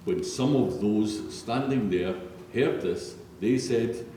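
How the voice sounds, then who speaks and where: A man's voice: on the low side, takes it slowly, mellow. A man narrating scripture solemnly as a reader in a church. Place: large echoey church interior. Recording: distant, very echoey church, faint noise.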